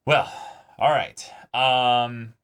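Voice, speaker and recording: A man co-hosting a reaction show, talking casually and haltingly as he thinks aloud about an anime episode, with emphatic swearing. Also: medium pitch, steady tone, fairly bright tone, smooth.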